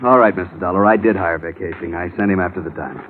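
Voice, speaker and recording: An actor playing an outwardly polite, nervous schemer in an old radio crime drama, earnest and defensive. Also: male voice, deep voice, fast talker, lightly acted. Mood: anxious confession. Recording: tinny, hissy old radio recording.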